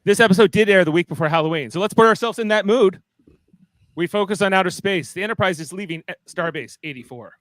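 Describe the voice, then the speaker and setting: A man's voice: sits high, fast, fairly bright tone, no room sound, poor audio. A man hosting and narrating a live amateur radio play in an informal, playful way. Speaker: host and narrator.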